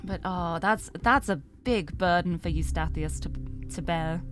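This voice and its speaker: A woman's voice: fairly low pitch, measured pace, soft background music. A young British woman from the UK, chatting in a rambling, self-deprecating way while streaming a game. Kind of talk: casual chat while gaming live.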